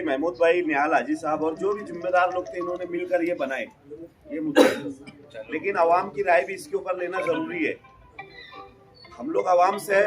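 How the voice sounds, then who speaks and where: A man gives an off-the-cuff speech at a community meeting in a high-pitched voice. He presses his points insistently and repeats them. The sound is distant from the microphone. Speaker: community member speaking at a public meeting. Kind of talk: impromptu public meeting speech.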